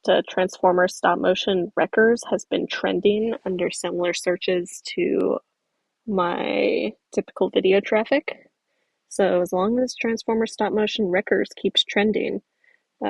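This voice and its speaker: A woman's voice: mid-range voice, creaky, darkish tone, slightly rough, no room sound, low-quality recording. A young woman from the American South, chatting casually on a livestream while reading out viewer comments. She rambles in a friendly way, uses many fillers and laughs easily. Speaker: stop-motion creator streaming.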